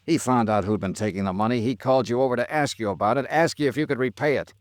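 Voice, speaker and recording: A man's voice: mid-range voice, fast talker, no room sound, studio quality, lightly acted. A man with an American accent playing a tough, dogged insurance investigator in a 1950s-style radio detective drama, terse and confrontational. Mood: accusing. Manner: terse, hard-boiled, confrontational. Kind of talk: 1950s radio detective drama acting, with first-person narration.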